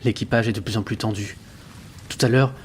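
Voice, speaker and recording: An actor playing an earnest sailor who narrates his ship's log in a measured, reflective way for an audio drama. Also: on the low side, fairly even intonation, a little hiss or hum, natural voice. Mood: grave, reflective. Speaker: narrator and lead character. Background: background music.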